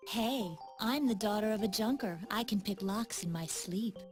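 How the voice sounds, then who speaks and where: A woman playing a soft-hearted, anxious young woman in a video game, earnest and girlish in manner. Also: mid-range voice, normal speed, over music, natural voice. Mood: proud.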